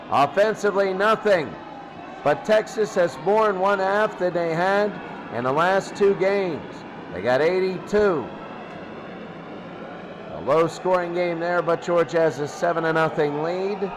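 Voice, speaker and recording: A man hosting a sports show, giving off-the-cuff football score commentary in clipped fragments with pauses. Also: sits high. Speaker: sports studio host. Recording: clearly noisy background.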